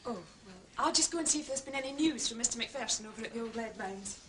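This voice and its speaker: An actress playing an anxious, genteel widow in a period drama, halting and pleading as she confides. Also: on the high side, animated, fairly bright tone, a little hiss or hum. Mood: anxious, polite. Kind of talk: British television period drama acting.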